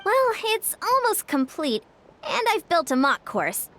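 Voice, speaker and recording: A woman's voice: very high-pitched, very animated, unhurried, a bit bright, full character voice. A woman playing a confident, easygoing young female coach in a video game, with upbeat delivery. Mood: friendly, upbeat. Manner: upbeat, easygoing, self-assured. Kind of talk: English video game voice acting.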